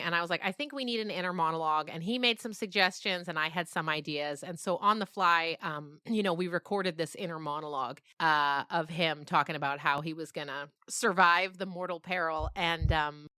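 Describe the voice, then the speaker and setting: A middle-aged woman talking casually in an interview as an author and podcast creator, reflective and animated as she tells her stories. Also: lowish voice, brisk, hardly any pauses, no room sound.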